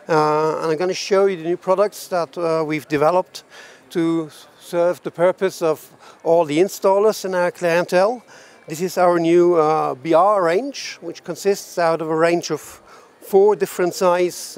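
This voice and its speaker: An older man in his sixties with a fairly high-pitched voice explains technical products. He speaks in a measured, explanatory way, like an engineer presenting his own range at a trade show stand. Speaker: head of research and development presenting products. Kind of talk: semi-scripted product presentation. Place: trade show booth in a large exhibition hall.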